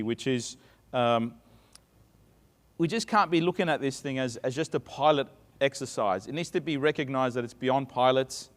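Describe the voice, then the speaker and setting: A middle-aged man with an Australian accent, giving a chatty conference talk full of fillers and restarts. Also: mid-range voice. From Sydney, Australia. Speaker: conference speaker. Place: conference stage. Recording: reverberant conference hall.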